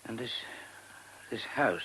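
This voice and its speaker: An actor in a radio play voicing a sick, frightened monk, hoarse and breathy, who stammers and halts. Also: male voice, bright, forceful tone, a little hiss or hum, poor audio. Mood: fearful, haunted. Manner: halting, stammering.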